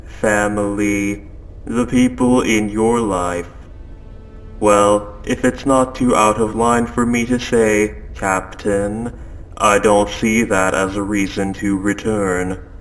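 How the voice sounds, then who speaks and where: Man as a slow, low-voiced possessive spaceship AI. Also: steady tone, low-quality recording. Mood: probing, persuasive. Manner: measured, formal, softly soothing and coaxing. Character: calm, possessive spaceship AI turning quietly obsessive. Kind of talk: scripted audio roleplay monologue. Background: ambient sound effects.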